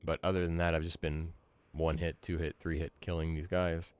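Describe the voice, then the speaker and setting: Male game streamer, thirties, deep voice, casual conversational commentary. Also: little pitch movement, moderate pace, darkish tone, clear, resonant tone. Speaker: game streamer, podcast host.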